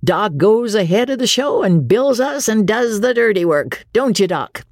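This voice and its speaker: Woman voicing a boastful, cheerful riverboat showboat captain; lively and bragging, storybook reading. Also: medium pitch, moderate pace, no room sound, clean studio sound, pitched above the performer's own voice. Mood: hearty. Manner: hearty, boastful introductions. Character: jovial middle-aged male riverboat showboat captain.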